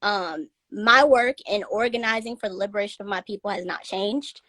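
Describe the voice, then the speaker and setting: A woman's voice: medium pitch, normal speed, bright, forceful tone, no room sound, poor audio. Southern woman from north carolina, earnest and thoughtful, dry close sound. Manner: earnest, reflective, laughs easily. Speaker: guest, content distributor. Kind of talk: casual live-stream conversation.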